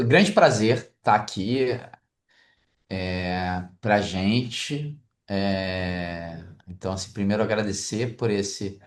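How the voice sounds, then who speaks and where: A man from Brazil with a Brazilian Portuguese accent, a museum director, answering interview questions hesitantly with many filler sounds. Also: fairly low pitch. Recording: echoey room.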